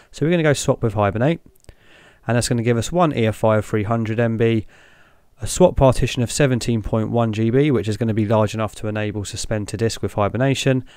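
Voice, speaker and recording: A young man hosting a tech channel, talking through each step in a relaxed way as he clicks through an install on screen. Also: fairly low pitch, smooth, no echo, studio quality. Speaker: tech video host. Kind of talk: screen-recorded walkthrough narration.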